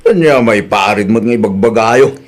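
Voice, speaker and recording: A man's voice: fairly low pitch, normal speed, lightly acted. A man playing a stubborn middle-aged village husband who shrugs off every warning in a teasing, dismissive way. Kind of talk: audio drama acting.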